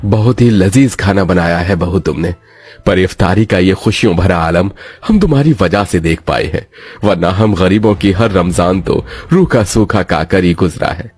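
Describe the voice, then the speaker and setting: A man playing kindly, fair middle-aged fathers and bosses in a moral story, speaking in a measured way. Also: sits low, a little mellow, breathy edge. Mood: grateful.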